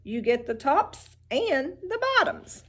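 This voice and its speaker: A woman's voice: high voice, big pitch swings, takes it slowly, a bit bright, pitched above the performer's own voice, exaggerated voice. A woman voicing a cunning, cheery male hare with a persuasive manner in a storybook read-aloud.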